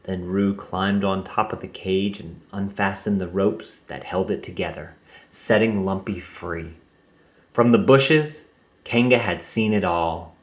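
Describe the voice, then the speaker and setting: A man reading a children's storybook aloud in an expressive, unhurried way. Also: low voice. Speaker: storybook reader.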